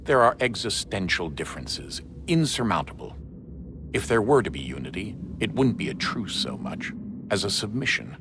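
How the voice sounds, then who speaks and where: An actor playing a middle-aged assassin father speaking haltingly and apologetically to his grown son in a game cutscene. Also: fairly low pitch, very animated, background noise. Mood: firm. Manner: halting, apologetic, sometimes whispered. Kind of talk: video game cutscene acting. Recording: game score behind voice.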